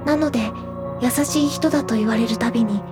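A woman playing a delicate, sweet and quietly intense teenage girl in an audio drama, speaking softly and with careful phrasing. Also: fairly high pitch, fairly quick, over music, lightly acted. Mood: confessional, uneasy. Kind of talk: Japanese audio drama acting.

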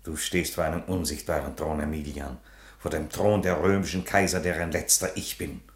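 A man's voice: extremely low pitch, fairly even intonation, normal speed, echoey room. A man playing a solemn, defiant, fatherly Roman emperor in a theatrical radio drama, declamatory in manner. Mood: grave, accusing. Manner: solemn, declamatory, from whispers to thunder.

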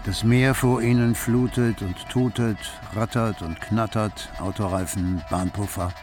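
An actor playing the wryly observant narrator of a literary radio play about city life. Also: male voice, lowish voice, steady tone, a little slow, lightly acted. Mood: wry narration. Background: music.

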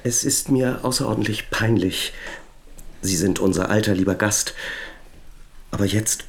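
An actor playing an embarrassed, apologetic and politely evasive hotel employee in a radio drama. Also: male voice, lowish voice, a little slow.

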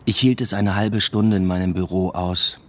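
A man's voice: low voice, fairly even intonation, normal speed, slight background noise, close to an everyday voice. An actor playing a brooding academic father who narrates his own story in a radio drama, subdued and introspective. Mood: subdued, pensive. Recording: music bed behind the voice.

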